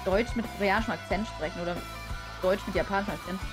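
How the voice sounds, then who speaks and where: A young adult woman streaming a let's play, translating the dialogue aloud in a halting, self-correcting way with casual asides. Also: mid-range voice, fairly even intonation. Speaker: live streamer reading a game aloud. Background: game music.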